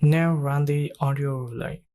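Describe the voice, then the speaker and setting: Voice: medium pitch, little pitch movement, a little slow, warm tone. A narrator reading scripted step-by-step tech tutorial instructions in a plain, instructional way.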